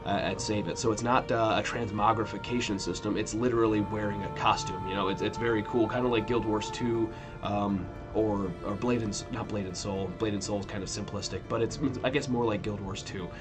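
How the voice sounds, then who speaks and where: A man in his thirties hosts a casual gaming news roundup, his voice sitting on the low side and a little stuffy from a cold he is still shaking off. He talks in a loose, rambling way, stopping to correct himself. Clear music plays behind him. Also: brisk. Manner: relaxed, rambling, self-correcting. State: recovering from a cold, sniffly. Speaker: weekly gaming news host.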